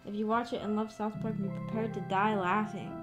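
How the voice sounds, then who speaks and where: A woman's voice: mid-range voice, flat delivery, a little fast. Casual woman chatting over background music. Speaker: livestream host chatting with viewers. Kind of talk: casual livestream chat.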